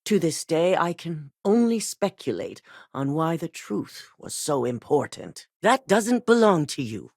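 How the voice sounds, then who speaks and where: An actress playing a cold, commanding corporate executive in a video game, speaking in a curt, authoritative way. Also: female voice, low voice, a little mellow, no room sound, clean studio sound, exaggerated voice. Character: cold, commanding female corporate CEO, middle-aged.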